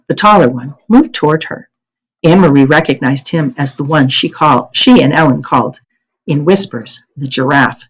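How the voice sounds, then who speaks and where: Woman, American accent, slightly husky, lively intonation, careful storybook reader, occasional stumbles. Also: sits low, rough recording. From United States. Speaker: teacher reading aloud to a class. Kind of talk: storybook read-aloud.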